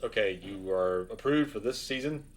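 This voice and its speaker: A man's voice: lowish voice, animated, moderate pace. A man, chatting casually as co-host of a reaction show. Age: 30s. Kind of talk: casual chat.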